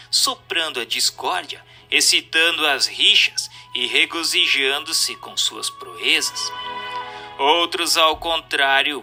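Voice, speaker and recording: A Brazilian man narrates a formal text in a measured, didactic way. His voice is strikingly bright and crisp-edged, pitched a little high for a man. Music plays softly behind his reading. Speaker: audiobook narrator. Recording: voice over background music.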